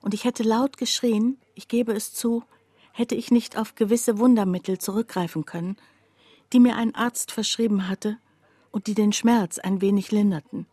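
A woman's voice: mid-range voice, steady tone, normal speed, dark tone, breathy edge, dry recording, natural voice. A woman narrating a literary radio drama monologue, confiding and precise, as someone wryly recounting a poor friend's troubles. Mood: confessional, subdued.

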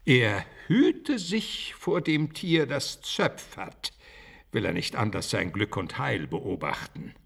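A man's voice: mid-range voice, big pitch swings, slow pace, vocal fry, a bit bright, husky, exaggerated voice. An older actor playing a learned, fatherly, admonishing pastor in a literary radio drama, with formal, preachy phrasing.

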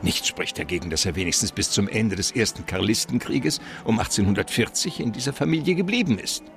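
An actor playing an effusive, wily gentleman mastermind in a German crime radio play, lecturing in florid, theatrical phrases. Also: lowish voice, expressive, a little fast, faint music behind. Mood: savoring, conspiratorial.

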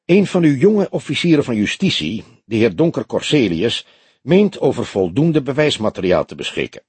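Male reader playing a rich suspect in his forties, polite, unruffled, animated pitch, husky, crime audiobook. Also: moderate pace, no echo. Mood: indignant, composed. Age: 40s. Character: stout wealthy crime suspect in late forties, smooth, self-assured.

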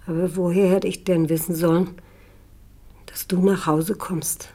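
Eine Schauspielerin in einem deutschen Hörspiel spielt eine liebevolle, beschämte Tante, die stockend und vertraulich von dunklen Zeiten erzählt. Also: female voice, lowish voice, little pitch movement, measured pace, warm tone. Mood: ashamed, tender. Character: ashamed, affectionate aunt recalling dark times. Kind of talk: German radio play dialogue.

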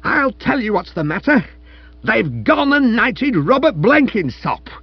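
An indignant, domineering middle-aged wife from a comic storybook. She is screechy and emphatic, pitched high with wild swings. The recording is slightly dull. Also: big pitch swings, moderate pace, vocal fry, slightly rough, no echo, heavily acted. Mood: outraged. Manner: emphatic, scolding.

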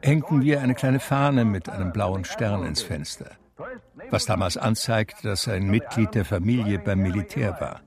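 An actor playing a reflective man who tells his life story in measured literary radio drama narration. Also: on the low side, a crowd in the background, lightly acted. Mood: sober, reflective. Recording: music under the voice. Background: music.

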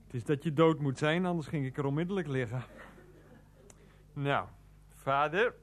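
Rambling, higher-voiced grumbling man in a radio play, acted. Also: normal speed, natural voice. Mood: plaintive, searching. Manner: muttering to himself, talks of himself in third person. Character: rambling, defiant, grumbling man who tipples, Freek. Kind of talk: Dutch radio play acting.

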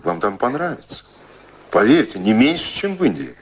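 An actor playing a suave, self-assured rake who teases and woos in a radio drama. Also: male voice, medium pitch, very animated, normal speed, husky. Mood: teasing, confident. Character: teasing, self-assured charming rake wooing a woman.

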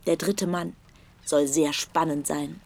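An actress playing a teasing, forward single woman with a breathy, husky voice in a radio play. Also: deep voice, steady tone, takes it slowly, darkish tone, heavily acted. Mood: playfully flirtatious. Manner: coquettish, playful.

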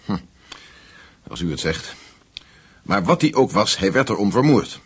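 An actor playing a brisk, suspicious American intelligence agent in a Dutch radio play, blunt and probing in his talk. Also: fairly low pitch, big pitch swings, fairly bright tone, husky, full character voice.

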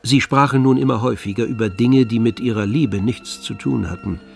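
Knarrender, distanzierter Erzähler. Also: male voice, medium pitch, fairly quick, creaky, a little mellow, slightly rough, soft background music. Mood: detached, wry. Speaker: narrator. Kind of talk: scripted literary radio play narration.

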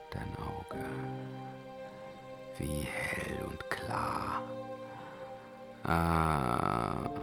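An actor playing a dying, enraptured hero and lover in a fantasy radio play, breathy and gravelly. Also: male voice, a bass voice, exaggerated voice. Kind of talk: radio drama acting.

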